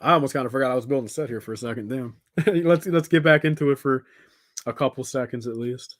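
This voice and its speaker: A man's voice: medium pitch, fast talker, dry recording. A young man talks casually to his livestream viewers. His delivery is unscripted and wandering, sprinkled with informal address like dude and man. The sound is dry, with very little room to it. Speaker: live streamer hosting a building stream.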